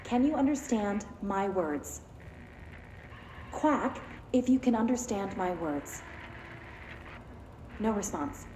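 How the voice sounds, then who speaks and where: An actress playing a detached female researcher in a game, dictating a formal test log, clinical, deadpan and methodical. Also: medium pitch, slow, long pauses. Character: detached female lab researcher logging an experiment. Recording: echoey, noisy laboratory recording.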